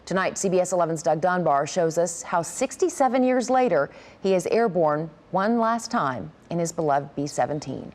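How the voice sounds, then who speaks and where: American lady news anchor, lowish voice, tv studio delivery. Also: animated, moderate pace, a little mellow. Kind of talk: news anchor introduction and brief chat.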